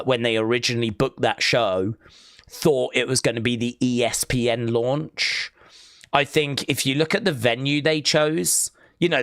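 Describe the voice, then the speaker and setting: A man's voice: medium pitch, a bit bright, clean tone, no echo, clean studio sound. Chatty man with a weak, tired voice. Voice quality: voice feels weak, as if with a cold. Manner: rambling, hesitant, reads chat aloud. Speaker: wrestling watch-along streamer. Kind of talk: casual live-stream chat.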